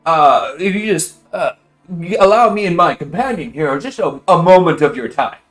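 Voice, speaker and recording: A man doing a high, stammering, flattering messenger. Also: moderate pace, natural voice. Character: nervous smooth-talking male messenger. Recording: roomy echo, faint music.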